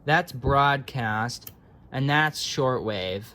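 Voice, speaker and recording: A man's voice: medium pitch, extremely slow, bright, forceful tone, slight background noise. A man giving casual, hands-on commentary as a hobbyist electronics repairer, offhand and muttering as if to himself.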